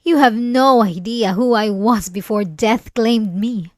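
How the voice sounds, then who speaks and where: A woman's voice: a little high, a little slow, darkish tone, dry recording, studio quality, close to an everyday voice. A woman playing an obsessive, possessive centuries-old vampire in an ASMR roleplay, speaking in a theatrical, silky, menacingly tender way. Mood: wounded, indignant.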